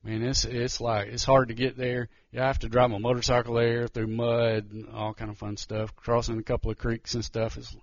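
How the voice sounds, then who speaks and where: A man speaks haltingly to a church crowd, often stopping and restarting his sentences. His voice sits at a middling pitch. The recording is dry and muffled, with a lo-fi sound. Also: flat delivery, no echo. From Georgia, US. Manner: nervous, halting, many restarts. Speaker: missionary. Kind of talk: church testimony talk.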